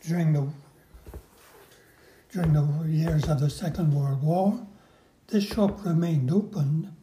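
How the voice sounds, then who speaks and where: A man in his seventies, from Northern Ireland, recalling his life story slowly with pauses in a casual interview. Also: on the high side, dark tone, echoey room, a little hiss or hum, low-quality recording. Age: 70s. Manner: unhurried, halting recollection with pauses. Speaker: interview guest.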